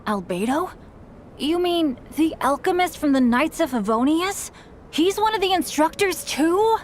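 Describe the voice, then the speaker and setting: A woman's voice: extremely high pitch, expressive, measured pace, a little hiss or hum. A woman voicing an earnest, good-natured boy adventurer in a video game, youthful and guileless. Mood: excited.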